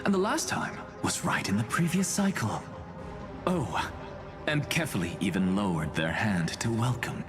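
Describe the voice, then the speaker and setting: A man's voice: on the low side, animated, moderate pace, natural voice. A man playing a theatrical, teasing scholar in a video game, sly and sardonic as he probes. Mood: wry. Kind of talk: scripted video game dialogue. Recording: loud background music.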